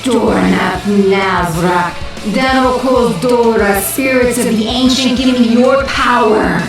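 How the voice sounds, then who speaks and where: A woman's voice: on the high side, slow pace, distant mic. A woman playing a sardonic, vengeful sorceress villain in an audio drama, theatrical and taunting. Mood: chanting. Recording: roomy echo, music underneath.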